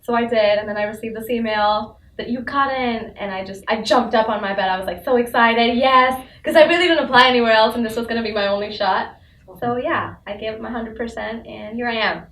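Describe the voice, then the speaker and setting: A young woman chats in a lively, giggly way, her voice a touch high. She sits back from the mic in an echoey room with faint noise. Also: fairly quick, far from the mic. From India. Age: young adult. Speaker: student. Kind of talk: casual panel chat.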